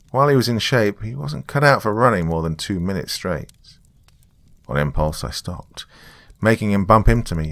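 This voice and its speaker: Male horror storyteller, low register with heavy vocal fry, scripted first-person narration, very dry sound. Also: a bit bright, no echo.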